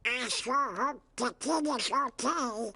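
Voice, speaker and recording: A man's voice: very high voice, slow, creaky, sharp tone, breathy edge, full character voice. A man voicing a small, fretful, conscientious cartoon cricket advisor in a video game. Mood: worried.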